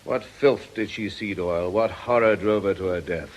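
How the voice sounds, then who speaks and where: A man playing a stern, forceful priest in a radio drama, grave, insistent and accusing. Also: on the low side, moderate pace, close to an everyday voice. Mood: intense, accusing.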